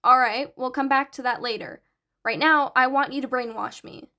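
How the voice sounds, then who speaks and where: An eager, bright teenage boy, performed by a woman in an audio story. His voice sits fairly high, and he explains things in an earnest, analytical way. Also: fairly even intonation, moderate pace. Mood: matter-of-fact. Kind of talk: audiobook character dialogue.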